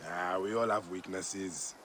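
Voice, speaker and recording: An actor playing a brooding, loyal protector in ancient Egypt in a dramatic video game cutscene. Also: male voice, deep voice, steady tone, measured pace, a bit bright, slight background noise. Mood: playful.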